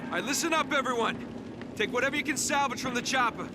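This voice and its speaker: An actor playing a gruff, commanding resistance squad boss in a video game, blunt and urgent. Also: male voice, very high voice, quick pace, music in the background. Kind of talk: video game cutscene acting.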